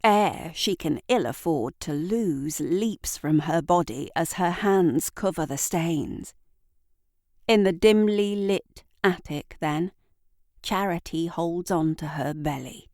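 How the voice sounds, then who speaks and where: A woman reading scripted literary narration for an audio drama in a deliberate, dramatic storytelling manner. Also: lowish voice, a little mellow, no echo, studio quality.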